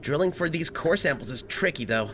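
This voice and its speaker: A woman's voice: low-pitched, lively intonation, brisk. A woman voicing an earnest, good-natured young robot boy hero in a comic dub, boyish and matter-of-fact. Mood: awkward. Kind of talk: cartoonish comic dub acting. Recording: faint noise, ambience behind.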